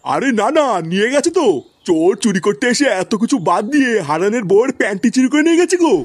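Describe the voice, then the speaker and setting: Very high-pitched, animated village uncle. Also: male voice, fast talker, no room sound. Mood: gossipy. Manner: animated, indignant, slangy. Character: indignant gossiping village uncle. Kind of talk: comic cartoon dialogue.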